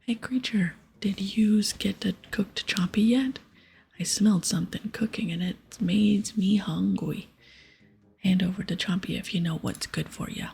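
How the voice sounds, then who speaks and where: Woman playing a husky, whispering, food-loving ogre. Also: mid-range voice, unhurried, exaggerated voice. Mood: demanding. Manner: childlike broken grammar. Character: gruff, demanding ogre who loves food. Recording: soft music behind.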